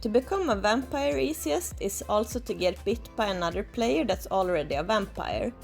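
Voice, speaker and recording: A woman's voice: medium pitch, lively intonation, moderate pace, gravelly, soft background music. A young woman with a Swedish accent, chatting enthusiastically in a casual game guide recorded on an outdoor balcony. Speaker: gaming YouTuber. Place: outdoor balcony, tropical resort.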